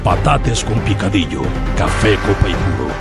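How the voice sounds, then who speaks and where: A man's voice: low-pitched, animated, moderate pace. A man playing a melodramatic announcer in a tongue-in-cheek radio soap promo. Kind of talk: mock-dramatic promo announcing. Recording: loud music over the voice.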